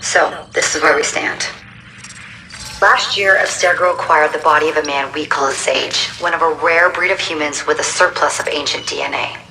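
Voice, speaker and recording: Low, forceful woman briefer. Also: normal speed. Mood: serious, briefing. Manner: matter-of-fact, urgent. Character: serious, businesslike woman briefing covert agents. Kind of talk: video game briefing monologue. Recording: distant mic, faint background noise.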